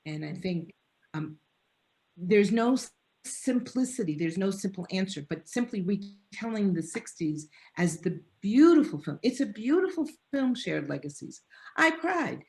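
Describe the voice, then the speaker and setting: A woman's voice: medium pitch, sing-song, unhurried, a little mellow. A woman professor answering a panel question over a video call, talking in an earnest, discursive way with restarts. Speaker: guest speaker, professor. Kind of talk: lecture-style answer in an online panel.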